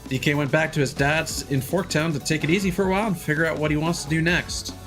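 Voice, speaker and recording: A man's voice: a little high, steady tone, fast. A man streaming a game, reading its text aloud and adding informal, wry commentary. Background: game music.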